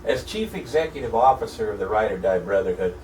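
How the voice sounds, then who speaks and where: A man's voice: lowish voice, expressive, quick pace, darkish tone, recorded from a distance. An American man hosting informal internet radio, speaking in short, emphatic phrases. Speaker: radio host. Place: indoor broadcasting room. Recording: echoey room, off-mic, faint noise.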